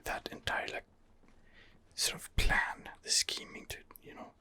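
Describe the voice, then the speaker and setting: A man's voice: a little slow, bright, forceful tone. A man giving whispered ASMR commentary on a game as its host, in a hesitant, rambling manner. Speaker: gaming video host.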